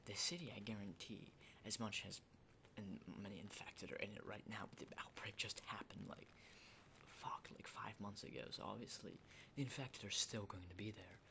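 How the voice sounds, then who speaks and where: A man's voice: fairly low pitch, steady tone. Whispering, jittery male apocalypse survivor acted by a man. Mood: wary, explaining. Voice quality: breathy whisper. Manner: halting, repetitive, insistent, often checking with "okay?". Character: jittery, hardened male zombie apocalypse survivor, socially rusty. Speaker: ASMR roleplay performer. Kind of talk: whispered ASMR roleplay monologue. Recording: clearly noisy close whisper recording.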